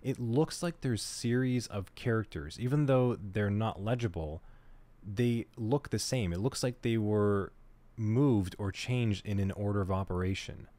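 A man teaching a cybersecurity lesson over a screen recording, talking through each step in a relaxed, conversational way and thinking aloud as he goes. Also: fairly low pitch, measured pace, dry recording. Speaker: cybersecurity instructor. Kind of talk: screen-recorded tutorial walkthrough.

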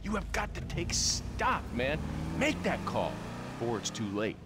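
A man's voice: mid-range voice, wildly swooping pitch, a little slow. Preachy, urgent man in long reverb. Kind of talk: scripted game dialogue. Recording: long reverb, faint noise behind.

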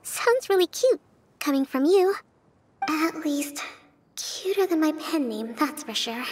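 A woman voicing a gracious, composed young female general in a video game, with a soft, polite delivery. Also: high-pitched, lively intonation, unhurried. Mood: gracious, amused.

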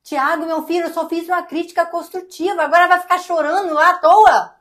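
A woman's voice: extremely high pitch, fairly even intonation, a little fast. A woman playing an indignant, domineering mother of a grown son in a domestic drama, guilt-tripping and dismissive, with a Brazilian accent. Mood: indignant, wounded.

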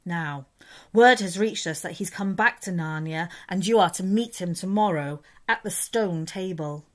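A woman's voice: fairly low pitch, moderate pace, close to an everyday voice. A woman voicing a kindly male beaver who speaks earnestly and urgently.

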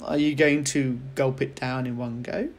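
A man's voice: mid-range voice, fairly even intonation, normal speed, close to an everyday voice. A man voicing a polite, cheeky young grandson in a children's storybook reading. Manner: polite, earnest.

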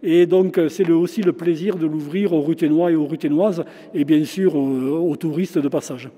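An older man in his sixties with a very mellow, dark voice sits a little high in pitch. He answers questions haltingly, full of fillers and restarts, through a face mask. The sound rings with the long reverb of a large stone chapel. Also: steady tone. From France. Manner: hesitant, many fillers and restarts. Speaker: shop owner and local historian. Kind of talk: casual interview. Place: large former chapel, indoors. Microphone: handheld interviewer mic, face mask on.

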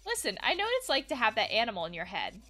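A woman's voice: fairly high pitch, wildly swooping pitch, rapid-fire, sharp tone, soft background music, full character voice. A woman voicing a breezy, friendly young party thrower from a game, casual and reassuring. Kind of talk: game dialogue read aloud.

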